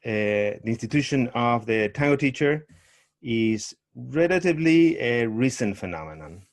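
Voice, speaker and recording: A middle-aged man speaks over a video call, at an ordinary mid pitch. He talks in a measured, thoughtful way, pausing often with fillers as he explains his teaching. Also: expressive. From Argentina. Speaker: tango teacher, guest. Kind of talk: online summit interview, presenting.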